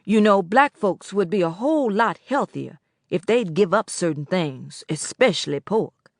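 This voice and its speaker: A dignified middle-aged gentleman, measured and earnest in his speech, as a woman reader voices him. His words come out firm and settled, in a dry, close recording. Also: medium pitch, animated, gravelly, no room sound. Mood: serious. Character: dignified middle-aged man, smooth, health-minded.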